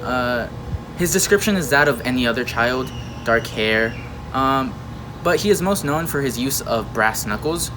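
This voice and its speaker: A man playing an earnest, halting local news reporter in an amateur mock report. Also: mid-range voice, lively intonation, natural voice. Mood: grave. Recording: noisy amateur recording, loud background hum.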